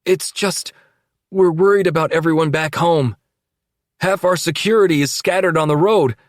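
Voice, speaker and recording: A man's voice: a little high, normal speed, dry recording, clean studio sound, pitched above the performer's own voice, lightly acted. A man voicing a worried member of an armed group speaking up hesitantly in an audiobook.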